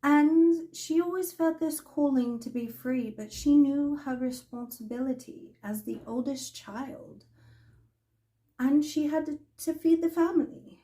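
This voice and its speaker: Woman playing a slow, imperious magical storyteller woman. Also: sits high, dark tone. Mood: wistful, storytelling. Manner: theatrical, haughty, deliberate dramatic pauses. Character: imperious, mischievous older female magical storyteller in a fantasy world. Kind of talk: in-character fantasy storytelling roleplay.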